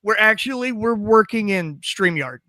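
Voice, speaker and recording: A man hosting a live stream, hoarse from a cold, talking plainly and reading out viewer questions. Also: high-pitched, animated, moderate pace, bright, forceful tone, no echo. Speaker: host. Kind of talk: casual live-stream talk.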